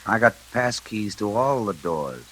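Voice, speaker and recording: A man playing a quiet, politely menacing elevator operator in an old radio suspense drama, speaking slowly and softly. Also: fairly low pitch, very animated, low-quality recording. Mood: quietly menacing. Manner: slow, soft-spoken, politely insinuating. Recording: hissy old radio recording.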